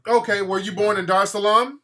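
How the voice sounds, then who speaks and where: A man's voice: sits high, fairly even intonation, fairly quick, crowd noise. A man hosting a call-in talk show, questioning a caller bluntly and impatiently, often repeating himself. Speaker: radio talk show host.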